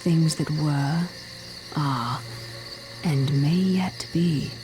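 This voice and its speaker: A woman playing an ancient, regal elven queen in a fantasy video game, solemn, measured and formal. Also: low-pitched, over music. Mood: solemn, mystical. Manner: slow, measured, grave, formal.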